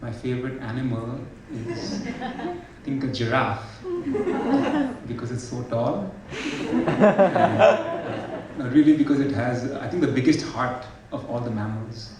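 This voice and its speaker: A man's voice: mid-range voice, very animated, measured pace, a loud crowd. A man from India, a Buddhist monk, briefly introducing himself to an audience with light humor. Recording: echoey hall, from far off.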